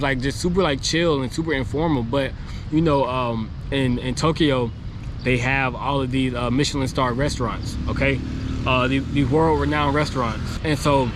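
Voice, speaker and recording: A man who is losing his voice, talking casually to camera outdoors in a rambling, chatty way with lots of fillers. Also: mid-range voice. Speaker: travel vlogger. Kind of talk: casual vlog talk to camera. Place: outdoors on a city street near construction. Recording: noisy street with passing traffic. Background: traffic and city street noise.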